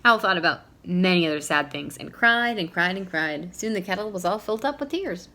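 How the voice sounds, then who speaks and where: Bright-voiced woman reading to children. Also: fairly low pitch, fairly quick. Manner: expressive, playful, teacherly. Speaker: children's storyteller. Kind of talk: read-aloud storybook narration with questions to young listeners.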